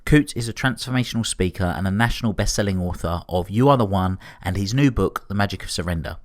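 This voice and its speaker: A man hosting a podcast, his voice on the low side and recorded close and dry. He reads a guest's credentials, then asks his questions with small stumbles and repeated words. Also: fast, no echo. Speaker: podcast host. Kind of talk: scripted intro then interview questions.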